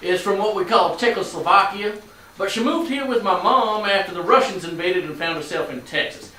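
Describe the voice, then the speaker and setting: A man's voice: sits high, normal speed, sharp tone. A man acting in a staged play reading as a genial, proud shopkeeper father with a friendly, folksy storytelling manner. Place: live staged reading in a room. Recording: very echoey, distant mic, faint noise.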